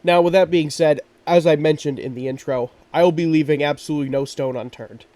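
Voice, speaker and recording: Male true crime podcast host with a congested, stuffy voice; earnest, halting delivery. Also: a little high, warm tone, distant mic. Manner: earnest, with frequent ums and restarts.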